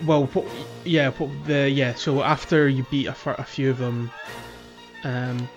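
A man co-hosting a casual video game podcast, explaining haltingly with jokes mixed in. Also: mid-range voice, steady tone, dull audio, soft background music. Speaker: podcast co-host.

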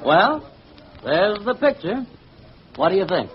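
An actor playing a suave, dramatic gentleman in an emphatic, theatrical 1940s radio drama style. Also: high-pitched, sing-song, slow pace, full character voice. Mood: genial. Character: suave, dramatic gentleman host and art owner. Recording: old muffled radio recording, faint hiss.

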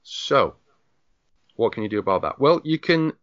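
A man's voice: medium pitch, very animated, moderate pace, a bit bright, no echo, lo-fi sound. A man hosting a tech podcast, presenting in a conversational way with wry phrasing. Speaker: podcast host.

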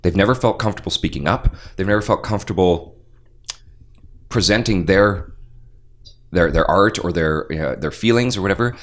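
A young man with a deep bass-baritone voice, telling stories animatedly as a guest in a casual podcast interview. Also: normal speed, long pauses. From Canada. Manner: animated storyteller, acts out quotes. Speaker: voice actor guest.

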